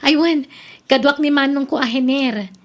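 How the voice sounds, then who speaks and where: A woman's voice: high voice, normal speed, a little hiss or hum, lightly acted. A woman playing a polite visiting lady in a radio drama, speaking with an Ilocano accent. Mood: polite, grateful.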